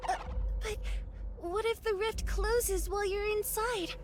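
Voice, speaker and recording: A woman's voice: extremely high pitch, steady tone, unhurried, background noise. An actress playing a timid, sweet young girl in a video game, speaking in a hesitant, meek way. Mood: nervous, hesitant. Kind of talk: scripted dramatic game acting. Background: music.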